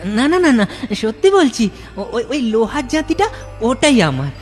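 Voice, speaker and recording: Cartoon village boy, high swoopy voice, slow talker, naive n honest, music behind. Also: big pitch swings, a little mellow. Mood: earnest, grateful. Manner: repeats words, exclaims.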